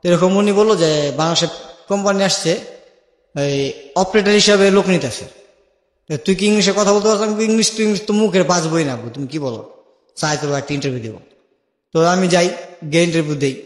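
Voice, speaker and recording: A man's voice: high-pitched, a little fast, long pauses. A young Bangladeshi man telling his life story as an interview guest, colloquially and with remembered dialogue acted out. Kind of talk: personal storytelling in a radio-style interview. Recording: echoey with long reverb.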